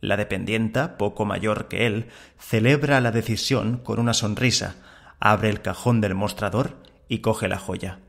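A man's voice: fairly low pitch, a little slow, vocal fry. Man narrating a story podcast.

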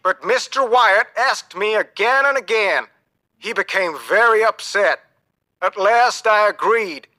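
A man's voice: sits high, animated, measured pace, bright, forceful tone, pitched above the performer's own voice, exaggerated voice. A man voicing a commanding, grave ship captain with an emphatic delivery in an audiobook story. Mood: grave, explaining. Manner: emphatic, short urgent orders. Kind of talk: audiobook character dialogue.

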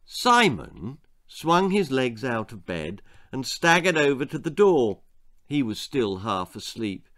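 A man's voice: mid-range voice, slow. An older man reading a children's story aloud in a theatrical, dramatic way. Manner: animated, theatrical delivery. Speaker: author reading his own story. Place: small book-lined room indoors.

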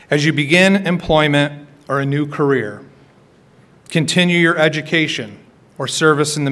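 A middle-aged man giving a formal, scripted ceremony address as a college administrator, measured in delivery, at a podium microphone on an auditorium stage. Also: mid-range voice, a bit bright. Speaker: college administrator presiding at graduation.

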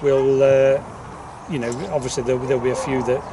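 A man's voice: medium pitch, level tone, a little fast, a crowd in the background. A man, a football manager giving an informal interview, often repeating his words. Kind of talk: informal post-match interview. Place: outdoors on a football pitch. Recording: distant outdoor mic, clear background noise.

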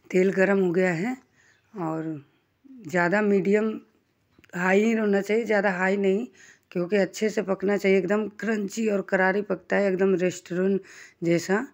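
A woman with a voice of ordinary, middle pitch hosts a home cooking channel. She talks in a homely, chatty way, explaining each step as she works at the stove. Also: creaky, darkish tone. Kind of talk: casual step-by-step cooking instructions.

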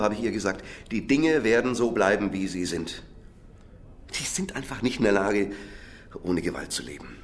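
An actor playing a cynical, defensive middle-aged businessman under interrogation in a radio crime drama, self-justifying and swinging between muttering and heated outbursts. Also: lowish voice. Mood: bitter, defiant. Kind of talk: naturalistic German radio drama acting.

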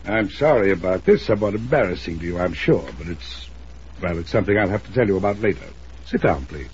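An actor playing a wealthy, proud, quick-tempered older gentleman in a 1950s radio drama, speaking formally and emphatically. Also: low-pitched, lively intonation. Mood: flustered courtesy. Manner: formal, emphatic, courteous to guests. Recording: muffled, noisy old radio recording.

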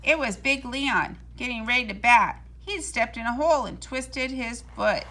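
Female storybook reader, bright and forceful, higher-pitched, expressive and deliberate. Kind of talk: scripted read-aloud of a children's storybook.

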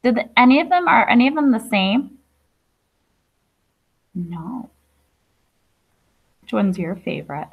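A woman's voice: fairly high pitch, sing-song, a little slow, vocal fry, pauses often, husky, lo-fi sound. A woman around her thirties, a teacher reading aloud to young children, enunciating and adding chatty questions to her listeners. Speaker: primary school teacher. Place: indoor classroom.

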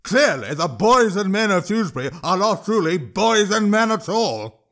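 Man voicing a bigoted troll minister, mocking, shouting, raised pitch, lively rise and fall. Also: normal speed, exaggerated voice. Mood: ranting. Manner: jeering, shouting over a crowd.

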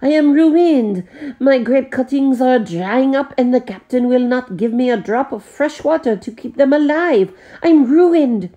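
A woman's voice: unhurried, warm tone. A woman voicing a fretful immigrant man, wailing and exclamatory. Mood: despairing. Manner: wailing, exclamatory, high-strung. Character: fretful French immigrant man with vine cuttings.

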